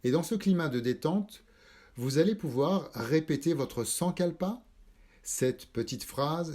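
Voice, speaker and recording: A man leading a guided yoga nidra meditation, calm and measured, instructing in short spaced phrases. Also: mid-range voice. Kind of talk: slow guided relaxation meditation.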